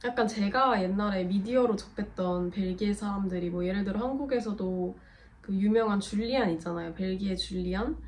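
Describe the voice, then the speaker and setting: A woman's voice: mid-range voice, darkish tone. A young Korean woman with a cold, co-hosting and chatting while she explains things casually. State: has a cold. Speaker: co-host of a couple channel. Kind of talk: casual chat.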